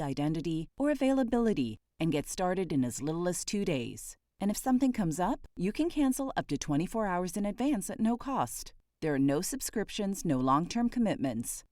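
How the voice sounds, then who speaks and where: Female ad announcer, lower voice, lively pitch, dry studio sound. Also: no echo. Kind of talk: scripted ad read.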